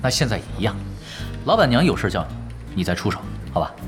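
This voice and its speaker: An unruffled, confident male team leader in an audio drama. He talks quickly and offhandedly with animated, dryly teasing pitch swings over music. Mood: casual, amused. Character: laid-back, confident male pro gamer and team leader.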